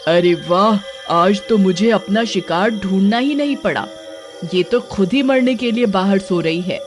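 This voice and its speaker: A woman's voice: mid-range voice, a little slow, faint music behind, natural voice. A woman voicing a menacing witch in a children's horror story, exclamatory and gloating. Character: menacing female witch hunting villagers for prey.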